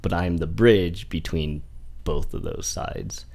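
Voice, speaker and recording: Man, 40s, very deep voice, from Indiana, casual rambling chat, lots of fillers. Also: takes it slowly, darkish tone. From Indiana, USA. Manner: rambling, heavy use of "like" and restarts. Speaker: guest. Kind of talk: casual conversational interview.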